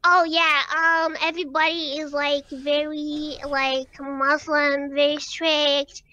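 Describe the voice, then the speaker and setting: High, girlish-sounding young woman caller. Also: level tone, takes it slowly, pushed and bright, no room sound, lo-fi sound. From Algeria. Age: 20s. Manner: rambling, filler-heavy, swears casually. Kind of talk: casual call-in chat.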